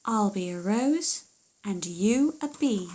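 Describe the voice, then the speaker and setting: A woman's voice: mid-range voice, expressive, takes it slowly, a little mellow. A woman voicing a brave, resourceful young storybook heroine who gives decisive, urgent instructions.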